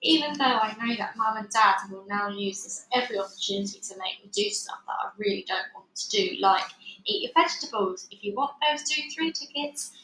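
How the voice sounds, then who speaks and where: A woman reading a children's book aloud in an expressive, dramatic storytelling style. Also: medium pitch, brisk. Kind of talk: animated read-aloud narration. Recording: echoey room, faint background noise.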